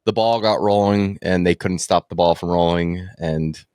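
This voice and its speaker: Man, deep voice, dry sound, podcast co-host, off-the-cuff film and TV opinions, casual, profane. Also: moderate pace, darkish tone, clear, resonant tone, no echo.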